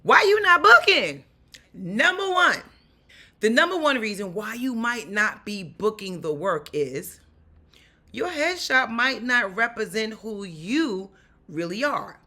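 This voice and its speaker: A woman with a raspy voice and a New York accent, an actor and stunt performer giving upbeat, animated career advice straight to her viewers. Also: a little high, takes it slowly. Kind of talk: casual advice vlog.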